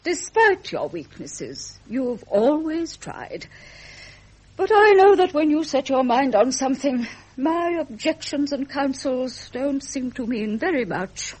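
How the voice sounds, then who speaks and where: An actress playing a stern, doubtful older royal mother in a radio drama, with a husky English voice and a measured, reproving manner. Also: high-pitched, expressive, rough recording. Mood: wearily doubtful.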